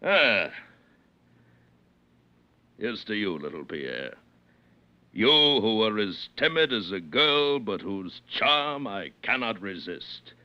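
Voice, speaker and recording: A belligerent, half-mad giant of a French smuggler, piercingly forceful and theatrical. His pitch swings widely as he rants and demands in grand, bombastic phrases. The sound is that of a dull old radio drama. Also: very animated, slow, pauses often, heavily acted. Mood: grandiose, tipsy. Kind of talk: 1940s radio drama acting.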